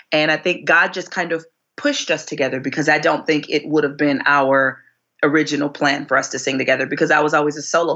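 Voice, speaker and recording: A woman in her forties, a singer being interviewed, telling her story expressively, her voice climbing high whenever she relives a moment. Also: fairly bright tone, muffled recording. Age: 40s. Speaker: guest singer.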